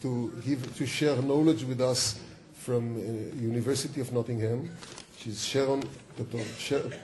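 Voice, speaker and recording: A man's voice: mid-range voice, mellow, slight background noise. A man introducing a keynote speaker at a university event. He stops and restarts often and corrects himself as he reads out her bio. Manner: halting, with many false starts and self-corrections. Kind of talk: hesitant spoken introduction, reading a bio. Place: lecture hall at a university event.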